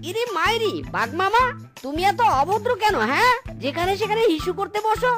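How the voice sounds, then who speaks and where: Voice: very high-pitched, expressive, a little fast. An actor voicing a mischievous little fox in a cartoon, exclamatory and taunting with gleeful prankster energy. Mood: indignant, amazed. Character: mischievous, cheeky little fox who plays vengeful pranks. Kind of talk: cartoon character acting. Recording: music behind the voice.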